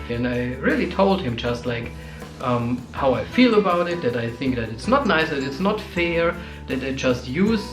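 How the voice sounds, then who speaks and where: Earnest young man, echoey room. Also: on the high side. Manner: earnest, halting, with restarts and soft laughs. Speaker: co-host. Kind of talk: casual chat about relationships.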